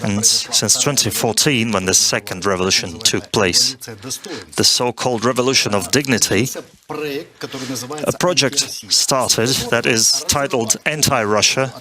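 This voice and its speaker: A man interprets a guest's long answers at a steady, measured pace and stops now and then to restart a phrase. The pitch of his voice is middling for a man. A clear crowd murmur runs behind him. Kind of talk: consecutive interpretation.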